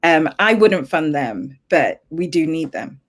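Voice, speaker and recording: Low-voiced woman on a panel. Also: big pitch swings, a little slow. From Zambia. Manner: thoughtful, with frequent fillers and restarts. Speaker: panelist and tech founder. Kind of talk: panel discussion.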